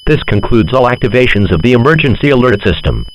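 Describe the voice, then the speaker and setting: A man's voice: medium pitch, expressive, fast talker, lightly acted. Man acting formal emergency announcer, faint noise. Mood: official. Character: formal, stern male emergency alert system announcer. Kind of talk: scripted emergency alert announcement. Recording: noisy, humming radio-like recording.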